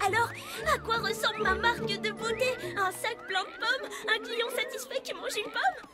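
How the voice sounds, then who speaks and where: A woman's voice: very high-pitched, normal speed. A woman voicing an eager, impatient little girl pony in a French cartoon dub, with a childlike, pouty, emphatic delivery. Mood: eager, pushy. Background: music.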